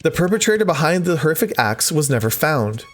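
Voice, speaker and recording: A man's voice: fairly high pitch, sing-song, a little fast, faint music behind. A man hosting a let's play, reading visual novel text aloud expressively with casual commentary. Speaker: gaming video host.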